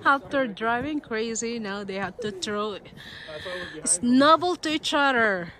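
Giggly, high-voiced Filipino-accented woman. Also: expressive, slow, a bit bright. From Philippines. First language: Filipino (Tagalog). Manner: giggly, exclamatory, chatty. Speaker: family vlogger filming. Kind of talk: casual vlog commentary. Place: outdoors in a snowy yard. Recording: noisy outdoor camera audio.

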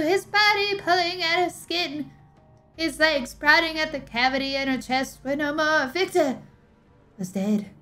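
A young woman giving a dramatic scripted narration, theatrical, with drawn-out emphasis and pauses, close on a streaming mic. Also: fairly high pitch, a bit bright. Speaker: streamer reading game lore aloud. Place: small indoor room, livestream setup. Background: music.

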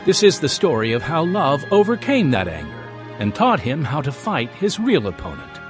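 Male radio drama host, scripted narration, lively swings in pitch, music underneath. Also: moderate pace. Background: music behind the voice.

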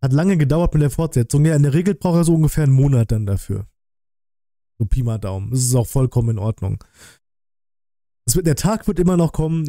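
Guy in his 30s, streamer chatting casually, really mellow dark tone, dry room close mic. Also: medium pitch, no echo. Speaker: streamer hosting a reaction stream. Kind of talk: casual livestream chat. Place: small indoor home streaming room. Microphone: close desk microphone on an arm.

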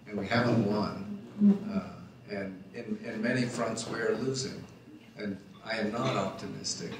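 A man speaks earnestly and reflectively, restarting his phrases now and then. His lowish voice echoes around a big wooden barn hall. It is picked up from a distance, with faint noise underneath. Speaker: panelist, organic farmer. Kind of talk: panel discussion remarks. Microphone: handheld mic, far camera.

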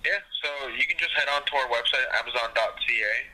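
A man's voice: mid-range voice, fast, bright, forceful tone. A polite, hesitant man working as a customer service representative on a phone call. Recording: noisy telephone line.

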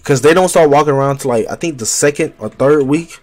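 A young man talking into a headset mic at his home gaming setup, rambling through a survival game in loose slang. He is getting over a cold, so his voice sits a little deeper and rougher than usual. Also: fast, darkish tone. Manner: loose slang-heavy chatter, trash-talking enemies. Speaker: livestreamer. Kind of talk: casual gameplay commentary.

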